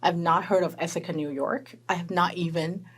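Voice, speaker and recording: A woman who grew up in Hong Kong, a guest telling her story in a conversational interview with lively, animated storytelling. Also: on the low side, a little fast, breathy edge.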